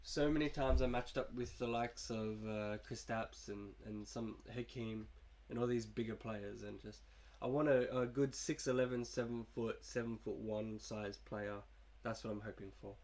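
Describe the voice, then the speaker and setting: A man's voice: medium pitch, steady tone, moderate pace, hardly any pauses, a little mellow. A young man with a cold, casually talking through his video game team in a laid-back, rambling way. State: sick, coughing. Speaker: gaming YouTuber. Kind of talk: casual gameplay commentary.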